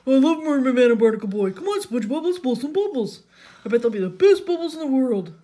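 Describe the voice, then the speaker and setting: A woman voicing a loud, dim, good-natured male cartoon starfish with a childlike, blustery manner. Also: high-pitched, lively intonation, moderate pace, voice pushed up. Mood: excited.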